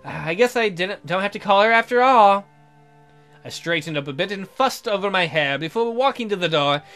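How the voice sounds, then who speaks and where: A man's voice: sits high, lively intonation, a little fast, a bit bright, soft background music. A man hosting a gaming video, reading visual novel narration aloud in a theatrical storytelling manner.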